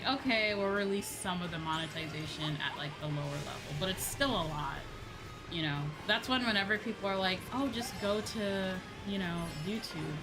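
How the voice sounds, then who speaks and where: A woman with a distinctly low-pitched voice talks casually on a gaming livestream. Her delivery is informal and conversational, leaning on repeated words for emphasis. Music plays clearly behind her. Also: low-quality recording. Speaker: livestreamer, VTuber. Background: background music.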